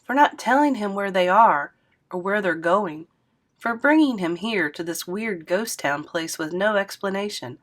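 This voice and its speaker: A woman reading a middle-grade novel aloud, narrating with expressive lifts for emphasis. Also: medium pitch, unhurried. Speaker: read-aloud host.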